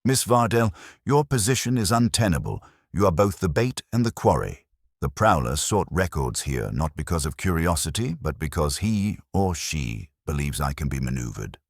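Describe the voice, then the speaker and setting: An imperious older detective, played by a man in an audio mystery, calculating and unhurried. The voice is extremely deep and husky, heavy with authority. Sentences come as formal, flat commands, with marked rises and falls. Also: no room sound, studio quality, full character voice. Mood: grave, commanding. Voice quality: heavy, weighty.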